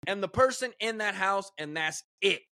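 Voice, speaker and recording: A man's voice: high-pitched, expressive, moderate pace, bright, forceful tone, no room sound, clean studio sound. A man hosting a casual podcast chat, emphatic and given to repeating words as he starts a point. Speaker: podcast host.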